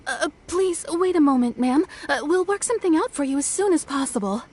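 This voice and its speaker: High-voiced, flustered shrine maiden. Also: female voice, expressive, brisk, darkish tone, a little hiss or hum. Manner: courteous, apologetic, earnest. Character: polite, flustered, eager-to-help young shrine maiden. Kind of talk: video game voice acting. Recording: music behind the voice.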